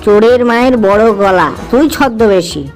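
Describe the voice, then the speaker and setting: A woman's voice: medium pitch, normal speed, close to an everyday voice, rough recording. A woman voicing an aggrieved young husband in a cartoon, insistent and indignant, with music underneath.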